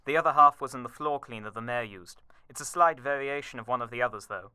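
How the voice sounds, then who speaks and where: Dutiful butler, crisp forceful tone, formal and exact, radio drama. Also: male voice, medium pitch, fast talker, no room sound, clean studio sound. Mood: precise. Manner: formal, precise.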